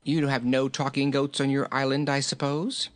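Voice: mid-range voice, flat delivery, moderate pace, voice pushed up, lightly acted. A narrator voicing a jolly, fat little king from a storybook, chuckling, exclaiming and repeating himself merrily. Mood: soothing, genial.

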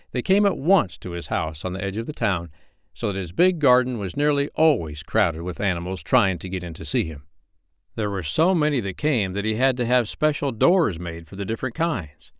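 A man narrating a children's bedtime story as an audiobook reader, in an expressive, measured storytelling manner. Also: lowish voice, no echo, studio quality.

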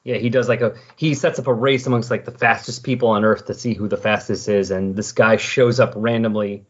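A man with a slightly husky voice in casual, rambling, enthusiastic conversation as a podcast host. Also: fairly low pitch, fairly even intonation, brisk. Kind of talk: casual chat about comic books. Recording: dull, lo-fi recording.

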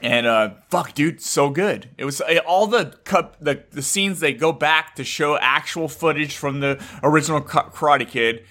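Man in his thirties, slightly high, bright forceful tone, profane rambling chat, video call. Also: normal speed, few pauses. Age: 30s. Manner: profane, rambling, restarts a lot. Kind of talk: casual chat.

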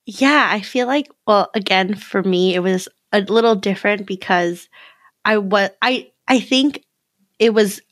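A woman's voice: mid-range voice, a little slow, no room sound. Young American woman, dry close sound. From California, United States. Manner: giggly, informal, peppered with "like". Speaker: podcast guest. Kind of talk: casual chat.

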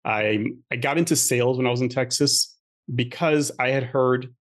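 A man's voice: mid-range voice, normal speed. A middle-aged man from Queens, New York, whose first language is French, telling his story as a guest in a casual interview, chatty and joking. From Queens, New York, USA. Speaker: podcast guest.

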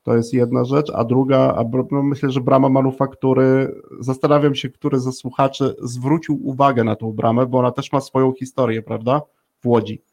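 A man's voice: mid-range voice, little pitch movement, normal speed, creaky, darkish tone. A man hosting a conversational interview, asking long, hesitant questions as he feels his way to the point. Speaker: podcast host interviewing guests.

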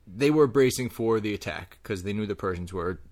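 Man telling a story, low voice. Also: animated, a little fast, a little mellow. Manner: rambling, hedging storyteller with many ums. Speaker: podcast co-host. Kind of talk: casual chat.

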